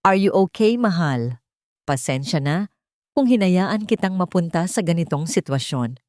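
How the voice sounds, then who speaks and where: A woman storyteller voicing a calm, protective husband with quiet, firm authority and tenderness. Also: on the low side, measured pace, dry recording, clean studio sound. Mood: tender concern.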